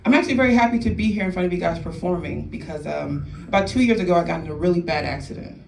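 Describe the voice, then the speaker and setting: A woman's voice: fairly low pitch, racing, vocal fry, darkish tone. Young woman doing stand-up in an echoey club. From New Orleans, US. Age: 20s. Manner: tags jokes with "right?" and laughs at own punchlines. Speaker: stand-up comedian. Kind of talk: stand-up set. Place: comedy club stage. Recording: echoey room, distant mic, noisy.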